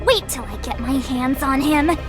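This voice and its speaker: An actress playing a feisty, cheeky young adventurer in a video game, brash and playful. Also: female voice, high-pitched, normal speed, natural voice. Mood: annoyed. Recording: loud game music behind battle lines.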